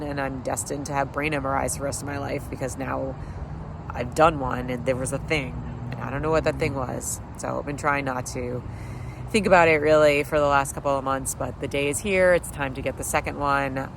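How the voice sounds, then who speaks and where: A man with a blocked-up nose from a summer cold talks at an ordinary middle pitch, rambling through a video diary with lots of fillers and dry sarcasm. He sits outdoors on a street curb while cars pass, and their noise comes through clearly. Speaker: vlogger. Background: passing traffic.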